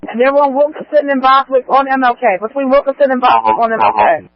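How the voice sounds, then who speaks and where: A man with a very high voice dispatches emergency units over police radio. He relays and repeats instructions. The sound is dry and muffled, like a lo-fi scanner recording. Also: animated, fast talker, no room sound.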